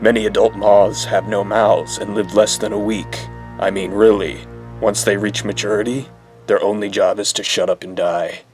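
An actor playing a grim, commanding masked vigilante in a superhero audio drama, terse and deadpan. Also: male voice, on the low side, moderate pace, soft background music, close to an everyday voice. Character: grim, commanding masked male vigilante hero, middle-aged.

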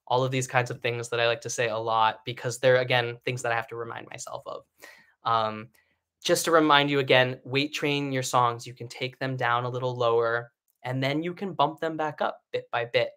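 A man in his thirties with a bright, forward voice, teaching singing on a live stream from home. He talks in a loose, encouraging way, with lots of "um" and "like" and frequent restarts. The sound comes from a webcam mic in a living room. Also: medium pitch, fairly even intonation, moderate pace, clean tone. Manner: chatty, encouraging, many fillers and restarts.

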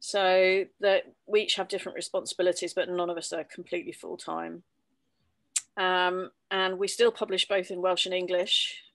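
A woman in her fifties, a publisher, explaining her press's history at a measured pace on a video call from home. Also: on the low side, fairly bright tone, dry recording. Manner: measured, explanatory, with filler ums. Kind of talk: online panel talk. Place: home room under sloped ceiling. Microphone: laptop webcam video call.

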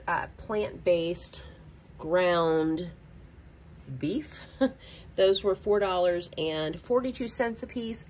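A woman's voice: on the low side, a little hiss or hum. A middle-aged woman with a Southern American accent, chatting casually through a shopping haul at her kitchen counter, with frequent ums and asides. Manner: chatty, rambling, many ums, baby talk to her cat. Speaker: budgeting vlogger. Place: home kitchen.

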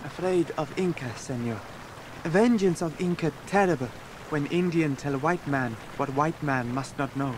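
A timid but loyal South American boy acted by a man in a radio play. He has a high voice and urgent broken English, with loud sound effects underneath. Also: normal speed, background noise, natural voice. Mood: fearful, earnest. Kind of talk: radio drama acting.

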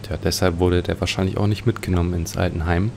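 A man's voice: sits low, level tone, fast talker, gravelly, a little mellow, slight background noise. A man giving musing, speculative commentary as he plays an adventure game. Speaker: let's play host.

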